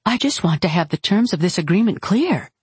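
A woman's voice: lowish voice, sing-song, fairly quick, darkish tone, slightly rough, no room sound, pitched above the performer's own voice, heavily acted. A woman voicing a proud, icy, menacing wife in an audiobook, with cool, pointed delivery. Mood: indignant. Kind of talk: audiobook character dialogue.

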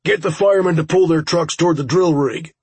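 Guy doing an urgent monster hunter dude in an audiobook, yelling short commands, pitched up and gravelly. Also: fairly quick, dry recording. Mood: commanding. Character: hard-edged male monster-hunting fighter, urgent and foul-mouthed. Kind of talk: audiobook character voice.

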